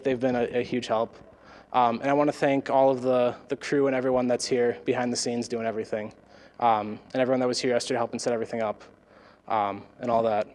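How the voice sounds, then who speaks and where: Halting young male student organizer. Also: medium pitch, a little mellow. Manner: casual, halting, many fillers. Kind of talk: thank-you speech.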